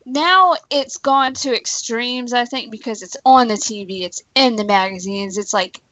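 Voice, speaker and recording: A woman's voice: fairly high pitch, a little slow, fairly bright tone, no room sound, muffled recording. A woman in casual conversation on a talk show, giving her opinions in an informal, emphatic way.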